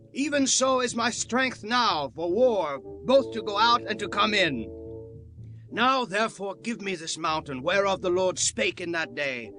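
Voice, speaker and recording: A proud old warrior of ancient Israel played by a man speaks with earnest, devout conviction. His voice is high for a man and his delivery declamatory, in the manner of a dramatised scripture audiobook. Instrumental music is clearly heard beneath him. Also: pushed and bright, exaggerated voice. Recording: music under the voice. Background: prominent instrumental music.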